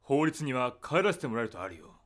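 A man's voice: mid-range voice, very animated, fast talker, fairly bright tone. A man playing a stubborn, crafty old spaceship engineer in an audio drama, arguing theatrically. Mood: wily, wheedling. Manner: wheedling, argumentative, theatrical.

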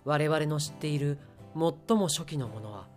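A man's voice: a little high, normal speed, soft background music. A man reading scripted story narration for an audio drama.

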